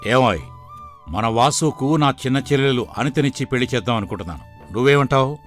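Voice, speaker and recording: A man's voice: medium pitch, brisk, soft background music, close to an everyday voice. A man playing a greedy, domineering middle-aged father in a moral story cartoon. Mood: calculating.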